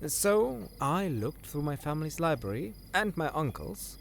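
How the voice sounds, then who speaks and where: A man's voice: a little high, very animated, unhurried, vocal fry, slight background noise, full character voice. A man playing an earnest, deferential scholar serving royalty in a fantasy audio drama, formal and slightly hesitant. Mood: earnest explaining.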